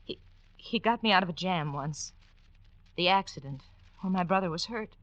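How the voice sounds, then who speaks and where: A fraught young femme fatale played by a woman from a 1940s radio thriller. Her voice is bright and tense, with a halting, pleading, melodramatic delivery. The sound is very dry and close. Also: lowish voice, dry recording, dull audio, close to an everyday voice. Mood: nervous confiding. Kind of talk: 1940s radio drama acting.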